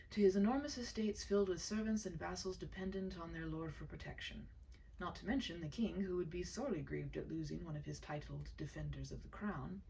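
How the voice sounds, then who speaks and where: A woman reading a story aloud as expressive, dramatic narration, with phrase endings that drop to a hushed breath. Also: low voice, vocal fry, far from the mic, slight background noise, low-quality recording. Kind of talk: expressive scripted narration of a historical romance tale.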